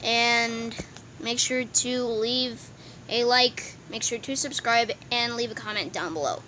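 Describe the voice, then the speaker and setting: A woman's voice: fairly high pitch, steady tone, slow, bright, forceful tone. A woman talking casually to viewers as the creator of an online comic dub channel. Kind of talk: casual YouTube intro. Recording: hissy home recording.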